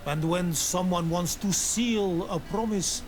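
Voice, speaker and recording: An actor playing a wary, solemn village keeper of oaths in a fantasy game, speaking in a measured, guarded way. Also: male voice, sits high, slight background noise. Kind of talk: scripted game dialogue acting.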